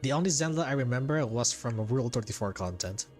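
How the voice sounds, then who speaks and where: Male streamer, lively swooping pitch, unhurried, casual jokey game commentary, faint music.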